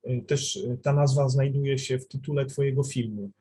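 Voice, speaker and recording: A man interviewing a guest with measured, polite questions and pauses between phrases. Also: mid-range voice, fairly even intonation, dark tone, slightly rough. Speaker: festival TV interviewer.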